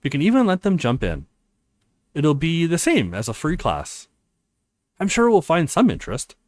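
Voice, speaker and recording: Man voicing an earnest, animated young college guy. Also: fairly high pitch, moderate pace, no echo. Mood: reassuring. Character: earnest, reassuring young male college student.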